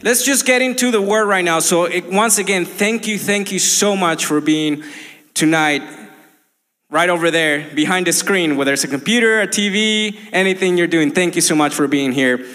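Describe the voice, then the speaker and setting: A man's voice: high-pitched, normal speed, sharp tone. A young man from Colombia, a native Spanish speaker with a Colombian accent, preaching an earnest sermon full of restarts. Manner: earnest, many restarts and fillers. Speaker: pastor. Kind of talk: livestreamed sermon. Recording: long hall reverb.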